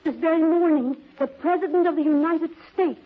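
High-voiced plucky young job seeker, tinny radio. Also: female voice, brisk. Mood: earnest, plaintive. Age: young adult. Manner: eager, earnest, theatrical. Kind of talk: 1930s radio drama acting. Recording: tinny, band-limited old radio recording.

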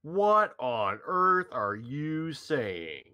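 A man's voice: a little high, very animated, extremely slow, pushed and bright, clear, resonant tone, full character voice. A man reading the part of an earnest, worried young man confronting a friend in a visual novel. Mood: insistent, worried. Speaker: amateur reader of a visual novel's lead. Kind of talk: staged reading with joking asides.

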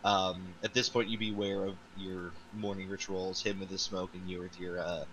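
Low-voiced man running a tabletop game. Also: little pitch movement, crowd noise, rough recording. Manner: halting, many fillers and restarts. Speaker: game master.

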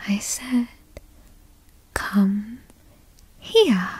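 A woman playing a possessive, doting, teasing girlfriend in a whispered ASMR roleplay, coaxing and cooing in a breathy voice. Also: medium pitch, big pitch swings, a little mellow, heavily acted. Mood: cajoling, possessive. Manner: coaxing, cooing, slow and indulgent. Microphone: close studio mic.

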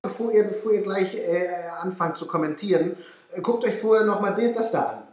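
A man's voice: sits high, lively intonation, fairly quick, roomy sound, heavy background noise. A man speaking to the camera in a hesitant, filler-heavy promo. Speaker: presenter.

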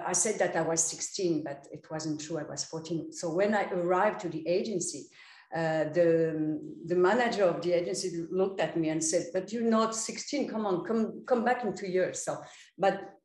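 A woman, raised in Paris after a birth, speaking hesitantly as an interview guest on a video call. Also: lowish voice. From Poland, raised in Paris. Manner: hesitant, restarts often, trails off softly. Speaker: guest, former model and actor. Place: indoors at home. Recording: roomy video call audio.

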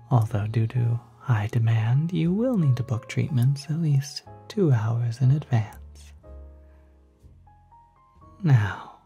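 An ASMR performer of unclear sex playing a courteous demon hotel concierge, speaking softly and politely, close to the microphone, often near a whisper. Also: mid-range voice, creaky, slightly rough, heavily acted. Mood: courteous, soothing. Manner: polite, unhurried, gently salesmanlike. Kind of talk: ASMR roleplay.

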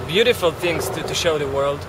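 Earnest guy in his 30s, bit high voice, stops and restarts a lot, street noise behind. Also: lively intonation. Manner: earnest, halting, searching for words. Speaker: street interviewee. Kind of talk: street interview answers. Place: outdoors on a street at night.

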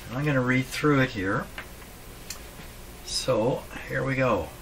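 A man's voice: mid-range voice, slow pace, vocal fry, background noise. A man explains things casually at a workbench, musing aloud and asking himself questions. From Canada. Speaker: host, radio repair hobbyist. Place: small cluttered indoor electronics workshop.

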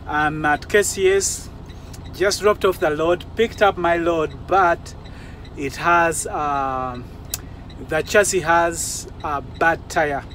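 A man's voice: on the high side, fairly bright tone. A man, around his forties, talking casually and explaining things to viewers like a vlogging driver. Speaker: truck driver vlogger giving advice. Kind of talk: casual vlog talk to viewers. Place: mostly inside a truck cab, some outdoors. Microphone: phone or camera at arm's length. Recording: noisy handheld recording with vehicle ambience.

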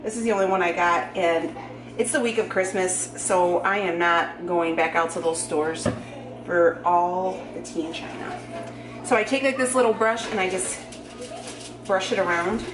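A woman, chatting casually with filler words and self-joking while she cooks in her home kitchen, picked up by a camera mic as she moves around. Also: mid-range voice. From United States. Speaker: vlogger. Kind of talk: casual cook-along vlog. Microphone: camera mic, moving about the room. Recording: echoey kitchen with noise.

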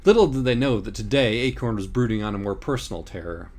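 A man reading scripted audiobook narration in a wry, deliberate, literary manner. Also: fairly low pitch, animated, darkish tone. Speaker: audiobook reader.

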